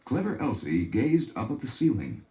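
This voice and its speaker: Male storyteller, medium pitch, animated, scripted children's narration, roomy vintage recording. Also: moderate pace. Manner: expressive storytelling. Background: music.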